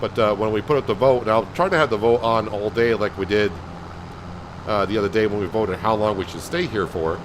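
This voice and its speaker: A man calmly talking viewers through a farming game on a livestream, in a soothing, unhurried way. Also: on the low side, background noise. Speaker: game streamer. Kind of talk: casual gameplay commentary.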